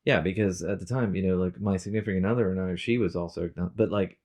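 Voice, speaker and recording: A man's voice: low-pitched, fast talker, darkish tone. A man co-hosting a podcast in casual conversation, telling anecdotes with quoted exchanges and easy swearing. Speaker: podcast co-host.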